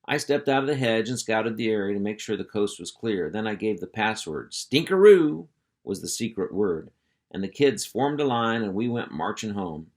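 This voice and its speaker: A man reads a children's chapter book aloud with folksy, expressive storytelling. His voice sits a touch low, steady and unhurried as he moves through the tale. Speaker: school superintendent reading aloud. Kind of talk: storybook read-aloud narration.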